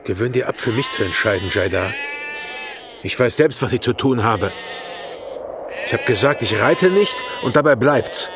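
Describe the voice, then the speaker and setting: A man's voice: mid-range voice, animated, moderate pace, pauses often, close to an everyday voice. A man acting a curt, stubborn shepherd, noisy outdoors. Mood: stubborn, bitter. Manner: curt, defiant, blunt. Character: proud, stubborn, hurt shepherd rejecting his old friend. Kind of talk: radio drama acting. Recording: outdoor scene with bleating sheep behind.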